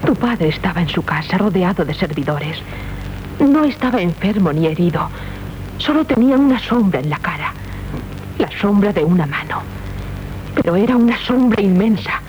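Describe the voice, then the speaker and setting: Through a muffled, noisy old radio tape comes a proud young noblewoman, played by a woman. She grieves and demands justice in solemn, declamatory radio-play style, at a middle pitch. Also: lively intonation, moderate pace, darkish tone, natural voice. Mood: bitter reproach. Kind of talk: 1970s Spanish radio drama acting.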